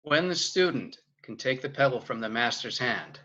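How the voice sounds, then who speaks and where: An actor reading a calm older kung fu master, with measured, proverb-like delivery at a comedic table read. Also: male voice, mid-range voice, muffled recording. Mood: solemn.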